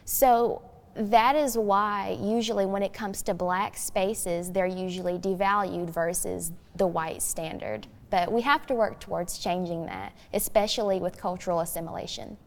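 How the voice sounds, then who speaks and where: A woman's voice: mid-range voice, steady tone, normal speed. Young female guest, teens, mid-range voice, thoughtful and explanatory discussion, recorded with heavy hall reverb. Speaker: youth guest. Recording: long hollow room reverb.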